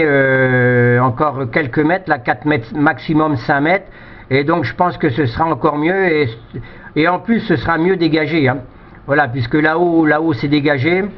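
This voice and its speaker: A man's voice: fairly high pitch, normal speed. A man chatting casually as an amateur radio operator, giving technical advice and often repeating words as he hesitates. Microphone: two-way radio microphone. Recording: dull, lo-fi radio transmission.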